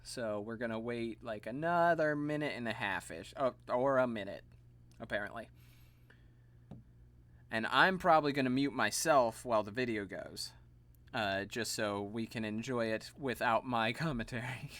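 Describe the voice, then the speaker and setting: Man with a tired, gravelly voice. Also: mid-range voice, normal speed, a bit bright. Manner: chatty, self-deprecating, laughs at himself. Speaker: streamer and voice actor. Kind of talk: casual live stream chat.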